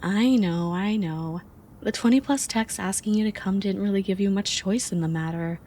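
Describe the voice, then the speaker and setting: A young woman playing an affectionate, teasing friend in an intimate ASMR roleplay, speaking softly and expressively. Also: mid-range voice, moderate pace, slight background noise, close to an everyday voice. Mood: warm, apologetic. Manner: soft, playful, expressive.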